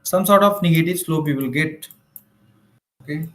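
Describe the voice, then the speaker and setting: Male maths teacher, Indian accent, slightly high voice, informal explanatory delivery with frequent check-in tags, noticeably echoey room. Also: moderate pace. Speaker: maths teacher for exam preparation. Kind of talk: informal online lecture, working through problems. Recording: roomy, echoing room sound.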